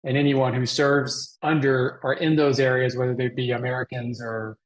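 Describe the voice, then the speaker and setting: A middle-aged man from Texas speaks as a guest in an interview. His voice sits at a medium pitch as he explains things in a conversational way. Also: little pitch movement, moderate pace, low-quality recording. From Texas, Dallas area. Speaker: guest, mission organization president.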